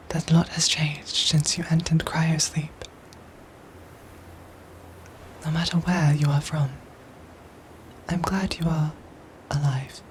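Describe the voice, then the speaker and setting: Woman as android soldier for ASMR drama: low, soft and whispery, formal, measured, gently protective, over noisy ambience. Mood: calm, reassuring. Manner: measured, formal, robotic phrasing with careful pauses. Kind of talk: ASMR audio roleplay acting.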